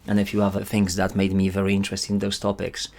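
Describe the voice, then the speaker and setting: Polish-accented man, very deep and flat. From Poland. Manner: measured, earnest, slightly formal phrasing. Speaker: guest, climate recruitment director. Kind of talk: interview answers.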